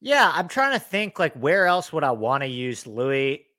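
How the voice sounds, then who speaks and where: Animated male golf analyst. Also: fairly high pitch, measured pace, dry recording, studio quality. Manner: animated, talks through picks with fillers. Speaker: podcast co-host, golf analyst. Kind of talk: casual sports betting chat.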